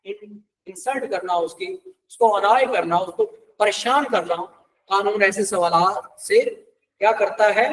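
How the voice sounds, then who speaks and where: A man's voice: high-pitched, a bit bright, distant mic, slight background noise, low-quality recording. A Pakistani man giving a classroom law lecture in a conversational way, mixing in English terms and checking points with rhetorical questions.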